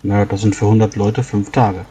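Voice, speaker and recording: A man's voice: lowish voice, level tone, a little fast, warm tone, breathy edge, soft background music. A man playing an obliging, practical food supplier in a tabletop roleplay, reckoning aloud and explaining as he goes. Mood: questioning. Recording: faint background noise.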